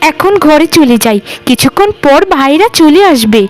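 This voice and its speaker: A woman's voice: high-pitched, expressive, moderate pace, faint music behind. A woman voicing a scheming, spoiled young village sister in a cartoon, theatrical and petulant. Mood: vengeful, scheming. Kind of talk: cartoon voice acting, melodramatic.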